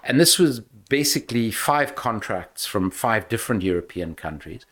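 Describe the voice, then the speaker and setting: Low-voiced South African older man. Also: lively intonation, fairly bright tone. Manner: measured, emphatic storytelling. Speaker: guest. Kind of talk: podcast interview.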